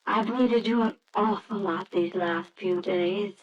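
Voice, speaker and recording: An actress playing a grieving wife and mother in 1940s radio drama style, speaking in a measured, restrained, plaintive way. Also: mid-range voice, highs cut off, natural voice. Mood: weary, sad. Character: sad, weary grieving wife and mother, quietly resigned. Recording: noisy old radio drama recording.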